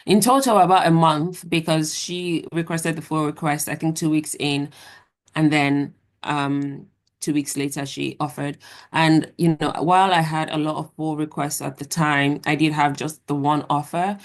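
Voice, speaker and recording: A young adult woman, a guest author, answering interview questions in a measured, thoughtful way. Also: sits low, fairly even intonation.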